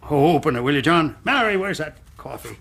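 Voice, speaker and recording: An actor playing a domineering, hard-living older colonel in a radio drama, husky and brusque, barking commands. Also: male voice, on the high side, animated, brisk, a bit bright, a little hiss or hum. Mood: gruff, jovial. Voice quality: husky, rough.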